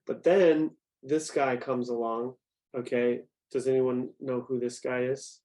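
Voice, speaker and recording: A man's voice: mid-range voice, steady tone, a little slow, mellow. Man leading an interactive faith talk. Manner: conversational, asks the audience questions. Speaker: youth program director.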